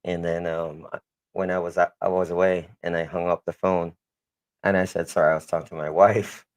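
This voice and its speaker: A man's voice: low-pitched, flat delivery, moderate pace. A man with a Filipino accent, whose first language is Kapampangan, co-hosting a casual livestream, reading viewer comments aloud and laughing often. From Philippines. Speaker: livestream co-host.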